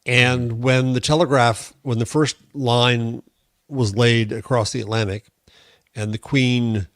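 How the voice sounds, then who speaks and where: An American man co-hosting a tech podcast, talking conversationally in an opinionated, deliberate and emphatic way. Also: mid-range voice, steady tone, a bit bright, no room sound, clean studio sound. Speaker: podcast co-host and commentator.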